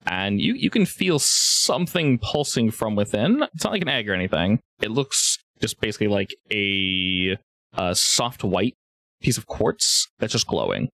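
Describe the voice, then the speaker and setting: A man's voice: fairly low pitch, animated, measured pace, fairly bright tone, no room sound. A man improvising narration as game master of a tabletop roleplaying game, informal and joking with the players.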